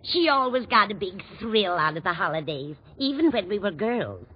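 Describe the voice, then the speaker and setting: An actress playing a kindly, scatterbrained older landlady with a husky voice in a 1940s radio comedy. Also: mid-range voice, very animated, moderate pace. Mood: kindly, chatty. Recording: dull vintage 1940s radio recording.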